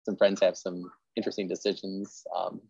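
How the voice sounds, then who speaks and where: A man's voice: deep voice, flat delivery, fairly quick, vocal fry, darkish tone, slightly rough, dry recording, lo-fi sound. A man hosting a podcast interview in an informal, rambling, self-deprecating chat style. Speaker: podcast host interviewing an author.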